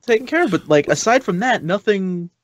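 Sardonic man, fairly high dry voice. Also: expressive, fairly quick, no echo. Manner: sardonic, sweary. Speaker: podcast co-host. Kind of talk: casual chat.

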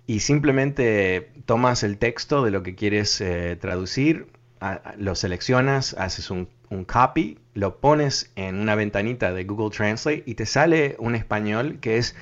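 Un hombre que conduce un programa de radio con llamadas del público. Habla pausado y reflexivo, con muchas muletillas. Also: male voice, fairly low pitch, highs cut off. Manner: thoughtful, many fillers and restarts. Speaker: radio talk show host. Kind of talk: live call-in radio talk.

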